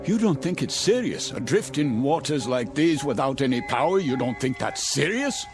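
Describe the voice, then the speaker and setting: A man's voice: medium pitch, big pitch swings, close to an everyday voice. Man acting a frantic, doomed ship passenger. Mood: agitated dread. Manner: agitated, halting repetitions, rising to desperate cries. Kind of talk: audio drama acting. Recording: dramatic music under the voice.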